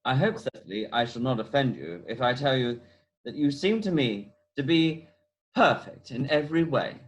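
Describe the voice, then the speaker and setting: Guy reading a flippant young gent in a play, romantic and vain, pitch swings about a lot. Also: animated, normal speed, lightly acted, rough recording. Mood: ardent, wooing. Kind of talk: amateur play read-through. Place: at home on a video call. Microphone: video call.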